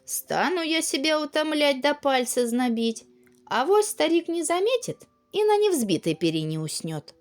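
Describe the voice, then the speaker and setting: Woman playing a haughty, lazy fairy-tale girl, lilting high. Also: very animated, normal speed, soft background music. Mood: lazy scheming. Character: lazy, haughty, self-pampering young girl.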